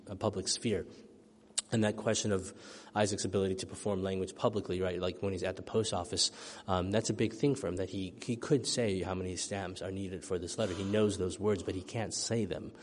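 A middle-aged male author from the United States, thoughtfully answering audience questions after a reading, with many ums and restarts. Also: sits low. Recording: long hall reverb.

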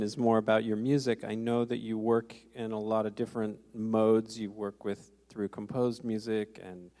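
A man with a mellow, lowish voice, putting a question from the floor and restarting as he goes. The hall reverb is long. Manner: hesitant, many restarts. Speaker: audience member. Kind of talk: audience question. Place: auditorium.

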